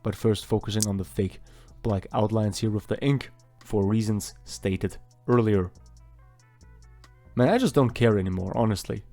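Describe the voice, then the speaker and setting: Man rambling casually, mellow low voice. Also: normal speed, soft background music. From Austria. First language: German. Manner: rambling, self-deprecating, chatty. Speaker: artist vlogger. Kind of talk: casual voice-over while drawing.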